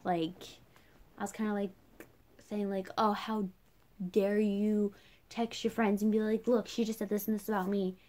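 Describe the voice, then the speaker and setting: A woman's voice: medium pitch, level tone, normal speed, warm tone. A young woman vlogging in a casual, chatty way, rambling through a personal rant with lots of "like" as a filler. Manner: chatty, rambling, heavy use of "like".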